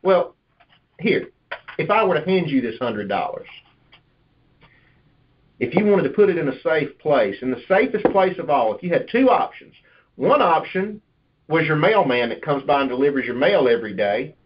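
A man's voice: a little high, big pitch swings, normal speed, pauses often, a little hiss or hum. A man coaching sales in a conversational, persuasive and explanatory way over a conference call line. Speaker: insurance sales manager and trainer. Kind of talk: conversational sales coaching with role-play pitches. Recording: dull, lo-fi conference call audio.

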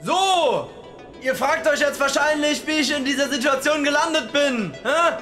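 A man's voice: very high-pitched, normal speed, over loud music, pitched above the performer's own voice. A man voicing a chipper, nervously flippant nerdy guy in an audio story, with a breezy delivery.

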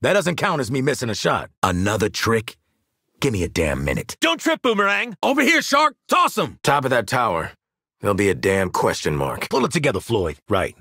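An actor playing a tough, cocky American hitman in a video game, with a gravelly, husky voice and a clipped, sardonic, commanding delivery. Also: mid-range voice, wildly swooping pitch, moderate pace, bright, forceful tone, no echo, studio quality, full character voice. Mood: urgent, shouting. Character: tough, cocky, no-nonsense hitman and squad soldier. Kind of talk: video game combat barks and banter.

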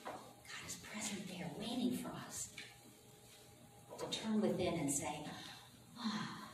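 A woman pastor speaking informally to her congregation in a chatty, folksy, unhurried way, as she welcomes them and leads prayer. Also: fairly low pitch. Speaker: pastor leading a church service. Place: large echoing room with a congregation. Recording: roomy, distant, noisy church service recording.

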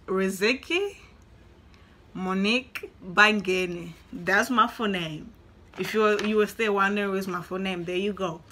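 Young woman, bright tone, lively casual vlogger chat into a webcam. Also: mid-range voice, slight background noise. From Tanzania. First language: Swahili. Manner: chatty, animated, repeats herself for emphasis. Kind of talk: casual talk to camera. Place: small indoor room.

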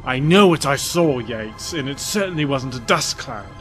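A man's voice: mid-range voice, lively intonation, normal speed, faint music behind, natural voice. A man playing a wary, insistent spaceship crewman in a sci-fi audio drama.

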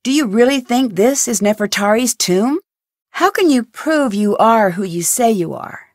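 A woman's voice: on the high side, animated, a little slow, no echo. A woman voicing a curious, probing young woman detective in a video game, asking question after question with a dry wit. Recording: dry studio recording.

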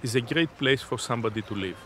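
A man in his fifties answering interview questions in a halting, deliberate, unscripted way. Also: mid-range voice, bright, forceful tone. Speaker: interviewee, innovation zone representative. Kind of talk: spoken interview, unscripted explanation. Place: outdoors on a sea beach. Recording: outdoor seaside background noise.

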